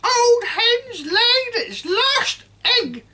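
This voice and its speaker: A woman voicing a nasty old grandmother who shrieks and cackles in a shrill, screeching voice. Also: big pitch swings, very slow, pushed and bright, heavily acted. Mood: cackling shrieking. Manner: gleeful, mocking.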